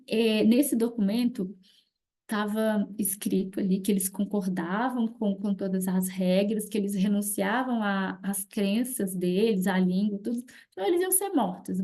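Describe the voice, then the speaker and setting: A woman presenting her dissertation research in a reflective academic talk, often pausing with small tag words. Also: mid-range voice, dark tone. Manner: reflective, many fillers like "né" and "assim".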